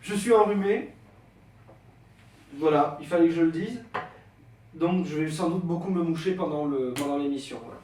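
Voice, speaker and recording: A man's voice: a little high, normal speed, long pauses, a little mellow. A young man hosting a casual podcast, hesitant, with many fillers and restarts, and stuffy from a head cold. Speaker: podcast host. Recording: echoey room, mic at a distance, faint noise.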